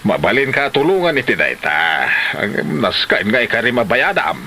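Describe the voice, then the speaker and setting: Man acting bright, lively older Ilocano father. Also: mid-range voice, normal speed, a little hiss or hum. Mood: obliging. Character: older village father, cautious but obliging moneylender. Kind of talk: Ilocano comedy drama acting.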